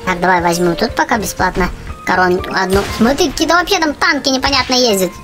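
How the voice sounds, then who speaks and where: A youthful-sounding gaming host giving excited, chatty gameplay commentary, often exclaiming and repeating phrases. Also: medium pitch, fast talker, music in the background. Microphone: voice-over on game footage.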